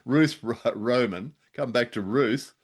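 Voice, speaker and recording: A man's voice: mid-range voice, slow, breathy edge. A middle-aged or older man co-hosting a casual discussion of old-time radio, wry, self-deprecating and a little hesitant. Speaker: podcast co-host.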